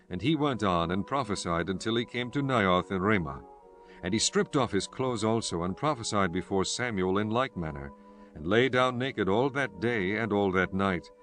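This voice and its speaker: A man's voice: lowish voice, a bit bright. A man narrating scripture as a solemn, scripted story in archaic English prose.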